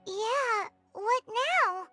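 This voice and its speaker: A tiny, cheeky game sprite with an extremely high, squeaky child's voice. It is bright and swoops excitably, blunt in tone, with music behind. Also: female voice, very slow, heavily acted. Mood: puzzled. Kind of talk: scripted video game dialogue.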